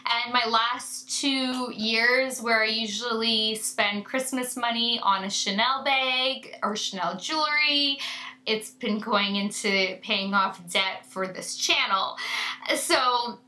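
A woman in her thirties talks straight to camera from a room lined with makeup shelves. She sounds stuffed-up and nasal from a sinus infection, chatty and effusive, with a high, bright voice. The room adds a noticeable echo. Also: slow. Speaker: beauty vlogger. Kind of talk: casual to-camera review.

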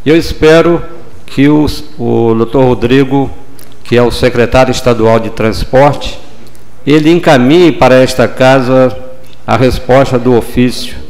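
Older man, councilman, formal deliberate delivery, desk mic in a chamber. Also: mid-range voice, darkish tone. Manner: measured, formal, deliberate pauses. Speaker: city councilman. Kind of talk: formal legislative speech. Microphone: desk gooseneck microphone.

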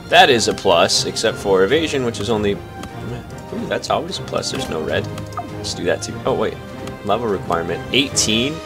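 A young man hosting a casual let's play, chatty and playful, a little stuffed up. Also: lowish voice. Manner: chatty, playful, easily excited. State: slightly stuffed up. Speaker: gaming channel host. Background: game music.

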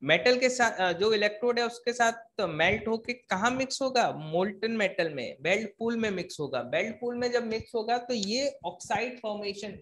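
A man's voice: high-pitched, lively intonation, normal speed, hardly any pauses, a bit bright. A man giving an explanatory technical lecture as an engineering teacher, asking and answering his own questions, repeating points and mixing in English technical terms.

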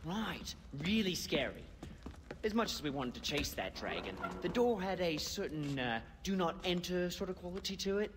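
A man's voice: sits high, sing-song, moderate pace, fairly bright tone, big-room sound, a little hiss or hum, full character voice. A man playing a goofy, rambling British stoner in a video game, jokey and dramatic. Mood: dreamy storytelling. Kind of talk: video game cutscene acting.

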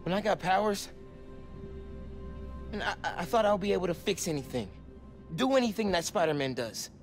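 A man's voice: high voice, animated, a little fast, soft background music. A young man playing an earnest, anxious teenage boy superhero in a naturalistic video game cutscene. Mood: discouraged. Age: young adult.

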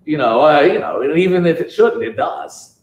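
A man giving a public talk at a bookstore event, speaking haltingly with frequent restarts and "you know"s. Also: a little high, big pitch swings, darkish tone, lo-fi sound, slight background noise. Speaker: guest speaker. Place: bookstore event space with audience. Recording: echoey room.

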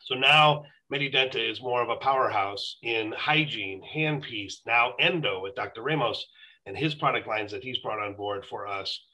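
A man's voice: medium pitch, normal speed, sharp tone, low-quality recording. A middle-aged man on a video call, explaining his company as a guest on a live stream. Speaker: dental company executive, guest. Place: room at home or office, indoors.

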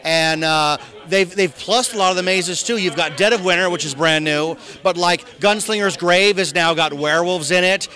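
A middle-aged man with an American accent talks up an event with a salesman's enthusiasm. His voice sits notably high and has a bright, forceful edge. He speaks into a handheld reporter's microphone in an interview. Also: normal speed, hardly any pauses. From Southern California, United States. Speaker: event master of ceremonies being interviewed. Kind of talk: promotional red-carpet interview. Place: outdoor press backdrop at a theme park at night.

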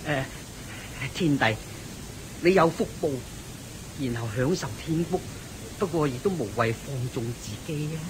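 An actor playing an earnest, naive monk bodhisattva in an admonishing manner in a radio drama. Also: male voice, on the high side, animated, normal speed, pauses often, background noise, natural voice. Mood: earnest, calm.

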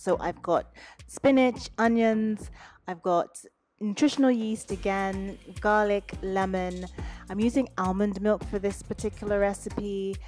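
Woman doing a chill cooking voiceover, step by step, music playing under her. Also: mid-range voice. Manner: relaxed step-by-step instructions. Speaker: cooking video host. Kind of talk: cooking voice-over narration. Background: background music.

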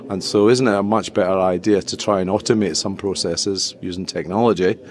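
A man's voice: low voice, steady tone, brisk. A middle-aged man with a Scottish accent, explaining things conversationally as a guest in a casual podcast interview. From Scotland. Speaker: interview guest, tech company chief executive.

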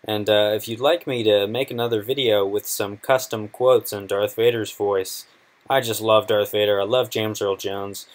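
A man in his twenties presenting a casual software tutorial in an informal, halting, unscripted way. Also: lowish voice, fairly even intonation. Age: 20s.

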